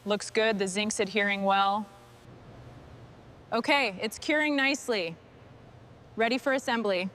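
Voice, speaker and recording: A woman's voice: mid-range voice, unhurried, pauses often, fairly bright tone, a little hiss or hum. A woman working as a mechanic, giving short workshop instructions and commentary on a truck restoration.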